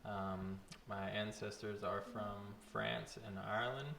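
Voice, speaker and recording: Gravelly man in his twenties from Louisiana. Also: fairly low pitch, little pitch movement, a bit bright. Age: 20s. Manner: halting, with fillers. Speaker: think tank participant. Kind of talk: self-introduction on camera. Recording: echoey with faint noise.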